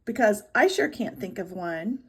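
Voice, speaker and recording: A woman's voice: medium pitch, animated, normal speed, husky. Woman voicing a bossy, snappy eleven-year-old big sister. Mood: scornful, snappy. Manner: snappy, know-it-all.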